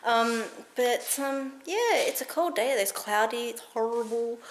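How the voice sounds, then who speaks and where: A woman's voice: on the high side, expressive, a little slow, rough recording. A woman in her thirties chatting to a handheld camera at home in a rambling, giggly way. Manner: rambling and giggly, many fillers. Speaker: vlogger. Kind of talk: casual chat to camera. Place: cold room at home. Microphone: handheld camera carried around the room.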